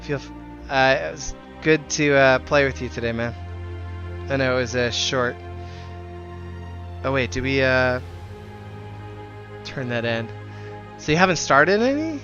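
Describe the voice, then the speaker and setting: A man with a very bright, forward voice talks casually to viewers on a game livestream. He is friendly and chatty, speaking at a middling pitch. The sound is a little dull, with music playing behind him. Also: expressive, a little slow, long pauses. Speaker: live streamer.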